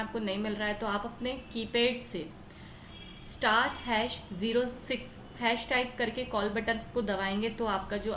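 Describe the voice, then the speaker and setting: An Indian woman teacher explaining a lesson step by step, often asking questions and answering them herself. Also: mid-range voice. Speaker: computer teacher. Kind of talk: explanatory lesson in Hindi mixed with English terms. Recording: echoey room with faint background noise.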